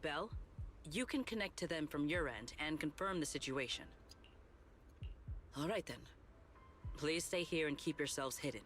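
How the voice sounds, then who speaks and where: An actor playing a formal, dutiful and courteous swordmaster in an anime game, with deliberate wording. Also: medium pitch, expressive, a bit bright, close to an everyday voice. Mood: businesslike. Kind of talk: scripted anime game acting. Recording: game music behind the voice.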